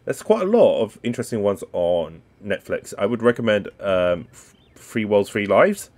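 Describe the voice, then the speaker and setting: A man's voice: on the low side, big pitch swings, moderate pace, a little mellow. A man casually commentating while playing a game, rambling and chatty with lots of ums and asides. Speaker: gaming video host. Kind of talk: casual let's-play commentary.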